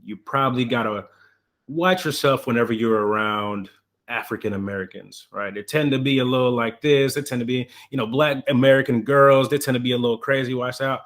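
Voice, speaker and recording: A man's voice: medium pitch, a little fast, a bit bright. A man from Congo hosting a podcast, talking casually and supportively, often repeating a word as he starts a thought. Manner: casual and affirming, repeats words when starting. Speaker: podcast host. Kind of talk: casual conversational interview.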